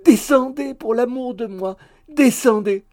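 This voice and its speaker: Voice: medium pitch, lively intonation, normal speed, mellow, pitched above the performer's own voice, lightly acted. A reader voicing a pompous young man in a story, pleading with urgent, exasperated grandiloquence. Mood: ardent pleading.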